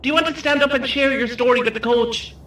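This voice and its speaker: An actor playing a cheeky, theatrical Irish ghost-tour guide in an audio drama, announcing over a coach microphone with showy, insulting patter. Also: male voice, very high-pitched, fast, sharp tone. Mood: cheeky, bossy. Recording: echoey on-board PA, slightly distant, faint noise.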